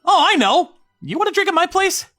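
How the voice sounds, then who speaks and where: A woman's voice: very high-pitched, very animated, fairly quick, fairly bright tone, no echo, clean studio sound, soft background music, full character voice. A woman voicing an earnest young male CEO in a manga story dub, polite, hesitant and apologetic. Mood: friendly, hesitant.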